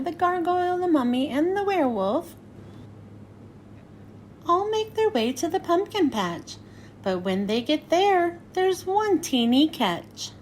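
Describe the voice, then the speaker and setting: High, lively woman reading a children's storybook. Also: slight background noise. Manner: expressive, sing-song rhyming delivery. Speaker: children's read-aloud host. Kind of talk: scripted storybook narration for children.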